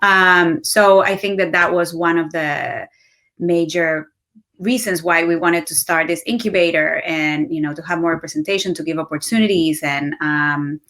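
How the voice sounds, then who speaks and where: Low-voiced woman with an Argentine accent. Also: steady tone, normal speed, fairly bright tone. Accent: Argentine Spanish. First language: Spanish. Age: late 30s. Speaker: panel facilitator, marketing executive. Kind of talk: casual panel discussion.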